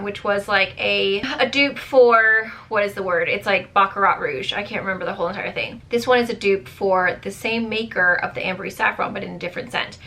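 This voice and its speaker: A woman reviewing beauty products to camera in a chatty, rambling way, full of fillers, her voice strained and going hoarse. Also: medium pitch, sharp tone. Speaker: beauty vlogger.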